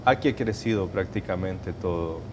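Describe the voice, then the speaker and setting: Salvadoran man giving measured testimony. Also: deep voice, a little hiss or hum. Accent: Salvadoran Spanish. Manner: measured, hesitant, with restarts and fillers. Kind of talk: personal testimony in an interview.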